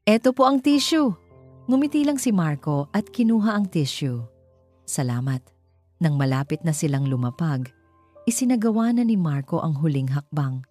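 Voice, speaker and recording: A Filipino woman narrates a scripted drama in a low register. Her tone is dark and mellow, and faint music plays underneath. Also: very animated, moderate pace. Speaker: story narrator. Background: background music.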